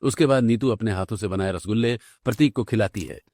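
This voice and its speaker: A man's voice: lowish voice, fast, darkish tone. A man delivering scripted narration for a cartoon story.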